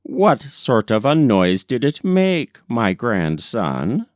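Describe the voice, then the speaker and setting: A man voicing a fearful old grandmother in a folk tale, with drawn-out, fretful exclamations. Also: medium pitch, sing-song, a little mellow, no echo, clean studio sound. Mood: instructing.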